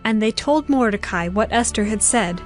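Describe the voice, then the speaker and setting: A woman's voice: medium pitch, expressive, moderate pace. Woman narrating scripture over music. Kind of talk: scripted dramatized Bible narration.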